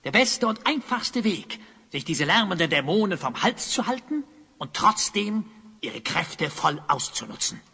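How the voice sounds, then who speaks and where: Swooping, theatrical evil sorcerer. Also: male voice, sits high, big pitch swings, a little slow, sharp tone, full character voice. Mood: smug, scheming. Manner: theatrical, grandiose, muttering to himself. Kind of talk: audio drama acting. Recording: clearly noisy background.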